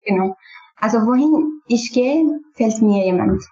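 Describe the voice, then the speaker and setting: A woman's voice: a little high, animated, unhurried, dark tone, muffled recording. A woman from Syria with a Kurdish accent and Kurdish as her first language, talking about herself as an interview guest in simple, careful sentences. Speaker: podcast guest. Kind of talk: personal interview talk.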